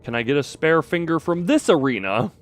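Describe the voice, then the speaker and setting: A man's voice: fairly high pitch, very animated, brisk, soft background music. A man doing casual live gameplay commentary, thinking aloud in a playful, muttering way and repeating phrases to himself. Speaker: game streamer.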